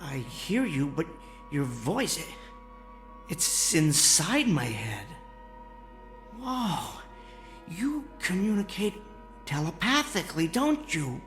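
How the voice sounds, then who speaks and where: Radio drama casting: folksy basement inventor, flustered, stammering, very animated pitch. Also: male voice, slow, pauses often, soft background music, heavily acted. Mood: startled, flustered. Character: excitable, folksy amateur inventor, a former engineer.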